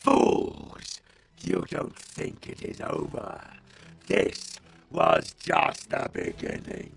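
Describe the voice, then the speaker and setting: An actor playing a menacing, gloating undead mage in a fantasy video game, with a rasping, growling voice. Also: male voice, a little high, big pitch swings, extremely slow, music in the background, exaggerated voice.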